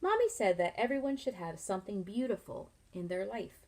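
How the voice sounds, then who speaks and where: A middle-aged woman, a teacher reading a picture book aloud to children, expressively and with pauses to ask them leading questions. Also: mid-range voice, sing-song, a little mellow. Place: small furnished room at home, indoors.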